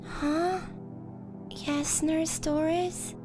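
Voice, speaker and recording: A woman's voice: sits high, level tone, extremely slow. A woman playing a bold, scheming, childlike young girl with a sassy manner in a horror audio drama. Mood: sleepy, innocent. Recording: loud music behind the voice.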